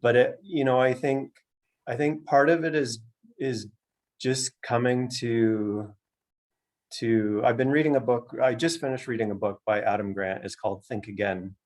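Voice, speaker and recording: A man with a Canadian accent giving thoughtful, measured interview answers, feeling his way through each point. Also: mid-range voice, fairly even intonation, darkish tone. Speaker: author and outdoor education director being interviewed. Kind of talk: thoughtful interview answers at an online book launch.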